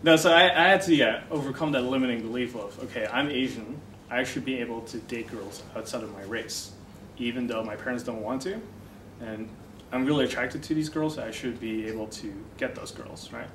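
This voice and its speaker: A man's voice: medium pitch, fairly quick. Roomy, distant man explaining casually. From Netherlands. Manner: relaxed, filler-heavy, explaining. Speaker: dating coach guest. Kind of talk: casual interview talk. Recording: echoey room from far off, noisy.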